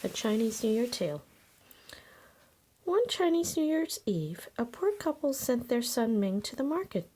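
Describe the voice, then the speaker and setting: A woman's voice: fairly high pitch, big pitch swings, measured pace, mellow. A woman reading a children's picture book aloud as scripted narration. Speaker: storybook reader.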